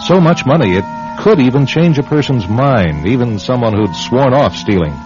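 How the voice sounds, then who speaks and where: A man's voice: mid-range voice, expressive, normal speed. A man hosting and narrating a radio suspense program in a scripted, dramatic storytelling style. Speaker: host and narrator. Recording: muffled old radio broadcast. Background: loud dramatic music.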